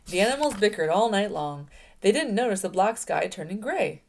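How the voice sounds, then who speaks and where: A woman's voice: mid-range voice, sing-song, normal speed. A woman hosting a children's read-aloud, narrating a storybook expressively.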